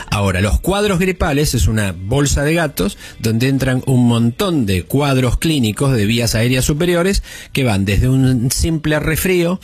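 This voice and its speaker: A man's voice: mid-range voice, breathy edge, no room sound. A middle-aged man with an Argentine accent, a doctor patiently explaining medical matters on a talk show. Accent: Argentine Spanish. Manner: calm, didactic, explains at length. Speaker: doctor guest. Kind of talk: explanatory radio chat.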